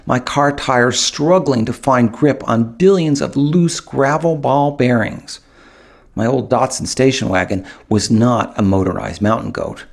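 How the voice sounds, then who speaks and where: A man reads a first-person story in a scripted audiobook style. His voice is on the low side, with a gravelly creak and a husky grain. He tells it with wry touches and pauses for dramatic effect. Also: a little mellow. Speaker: author reading own audiobook.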